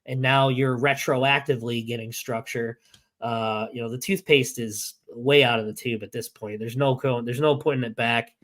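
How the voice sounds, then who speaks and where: A man co-hosting a casual sports podcast, hoarse and losing his voice after being sick, talking in halting bursts full of restarts. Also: medium pitch, fairly even intonation, fairly bright tone. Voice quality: halting, many restarts and fillers. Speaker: podcast co-host.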